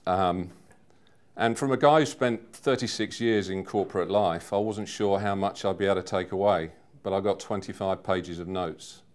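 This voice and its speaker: A man in his fifties giving a personal testimonial in a measured, earnest way. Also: sits low, a little mellow. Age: 50s. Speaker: seminar attendee giving a testimonial.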